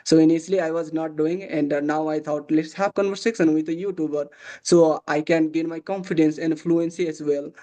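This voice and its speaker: A man's voice: a little high, fairly even intonation, fairly quick, mellow. A young man with a Nepali accent, a native Bhojpuri speaker, chatting over a video call in hesitant English full of fillers and restarts. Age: young adult. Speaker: guest, English learner. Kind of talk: casual conversation practice over video call.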